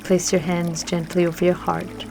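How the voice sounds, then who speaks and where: A woman leading a scripted guided meditation with affirmations in a gentle, soothing manner, leaving long pauses between phrases. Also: lowish voice, completely flat delivery, gravelly. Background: music and trickling water.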